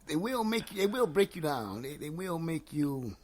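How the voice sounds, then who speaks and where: A man speaking as a guest on a recovery talk, earnest and folksy, giving slow advice. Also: fairly high pitch, big pitch swings.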